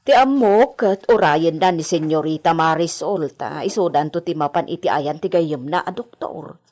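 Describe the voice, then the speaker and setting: A woman's voice: sits low, normal speed, darkish tone. A woman with an Ilocano accent playing an anxious, deferential house servant in a radio drama, with hesitant speech. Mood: worried.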